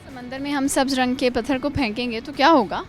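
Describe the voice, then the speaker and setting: A young woman with a high voice runs a playful interview at a college, firing quick teasing follow-ups. Faint music plays under her voice. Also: steady tone. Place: college campus.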